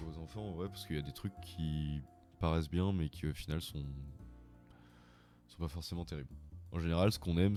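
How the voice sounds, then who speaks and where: Male vlogger with a cold, very low voice, loose rambling delivery, music bed underneath. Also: mellow. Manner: informal, rambling. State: has a cold. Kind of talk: casual video tutorial. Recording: music playing behind the voice.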